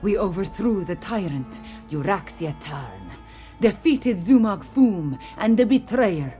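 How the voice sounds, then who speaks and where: A woman voicing a weary, regal cat-folk warrior queen in a video game, speaking formally and earnestly in measured phrases. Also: medium pitch, sing-song, warm tone. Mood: earnest, solemn. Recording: game music behind the voice.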